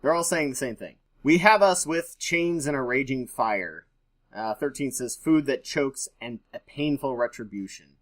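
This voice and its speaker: A man's voice: mid-range voice, normal speed. Man reading aloud with casual skeptical asides. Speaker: host reading and commenting on scripture.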